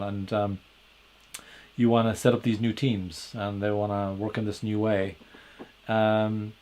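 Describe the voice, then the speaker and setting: A man with an Irish accent talks through his ideas on his own in a fairly low voice. He stops often on "uh" and "um", restarts his phrases, and keeps an easy conversational tone. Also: a little mellow. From Ireland. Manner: hesitant, many "uh" fillers and restarts, conversational. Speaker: podcast host and agile coach. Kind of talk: solo explanatory talk.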